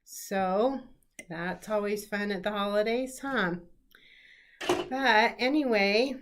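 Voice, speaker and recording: A middle-aged woman hosting a casual live-stream chat as a quilting teacher, a little hoarse from a cold, chatty with lots of ums and throat clearing. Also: medium pitch, steady tone, takes it slowly, background noise. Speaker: quilting teacher and show host. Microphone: computer setup, live stream.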